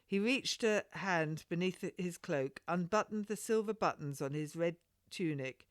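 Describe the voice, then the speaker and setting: A woman's voice: fairly low pitch, unhurried, no room sound, clean studio sound. A woman author reading her own historical novel aloud as informal, scripted storytelling. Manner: unpolished, with stumbles and self-corrections. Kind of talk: informal audiobook narration.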